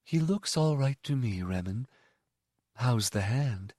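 A deep, soft, musical-voiced noblewoman, as a male reader puts her on, quiet and tender yet steady. She speaks very slowly, in a dry, close storybook reading. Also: no room sound. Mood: gently amused. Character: gentle, commanding older noblewoman, a mistress.

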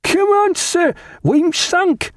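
A jovial ship's steward, played by a male narrator, pitched well up from a normal male voice. He is deferential yet cheerfully blunt, with the colloquial talk of a rough seaman in a seafaring storybook. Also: expressive, normal speed, no room sound, clean studio sound. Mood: jovial, rousing.

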